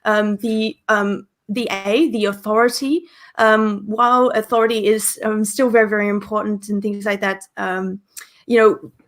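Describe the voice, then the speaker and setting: A woman's voice: mid-range voice, little pitch movement, moderate pace. A young woman co-hosting a livestreamed meetup over a video call, chatty with many ums and likes. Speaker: meetup co-host.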